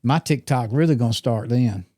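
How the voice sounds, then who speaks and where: A man's voice: mid-range voice, normal speed, dark tone, dry recording, studio quality. A man around sixty, co-hosting a hunting podcast in casual, rambling, teasing chat. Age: 60s. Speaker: podcast co-host.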